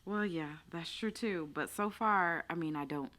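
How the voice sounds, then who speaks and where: A woman's voice: fairly low pitch, sharp tone, slight background noise. A young woman co-hosting a podcast, chatting candidly and giggling often. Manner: candid, giggly, drawn-out phrasing. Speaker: podcast co-host. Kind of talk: casual chat.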